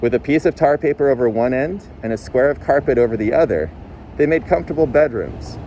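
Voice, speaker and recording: A man reading a novel aloud in audiobook style, as a volunteer narrator. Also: medium pitch. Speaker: volunteer reader. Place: outdoors on a city street. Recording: faint outdoor street and traffic noise. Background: passing vehicles, faint street ambience.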